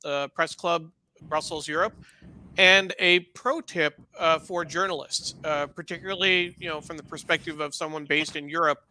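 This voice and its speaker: A man with a strikingly bright, forceful voice, pitched a little high, co-hosts a live show. His delivery is conversational and halting, full of appreciation. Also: slight background noise. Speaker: co-host. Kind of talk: casual live show hosting.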